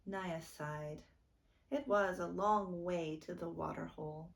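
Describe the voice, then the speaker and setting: Slow, gravelly-edged woman reading a storybook. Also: lowish voice, fairly even intonation. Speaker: storytime reader. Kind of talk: children's book read-aloud narration.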